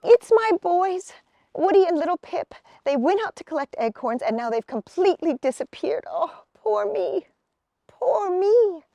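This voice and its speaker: A woman's voice: very high-pitched, very animated, measured pace, creaky, warm tone, no room sound, muffled recording, pitched above the performer's own voice, exaggerated voice. A woman voicing a fussy, fretful acorn mother in a storybook, complaining dramatically. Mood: distraught.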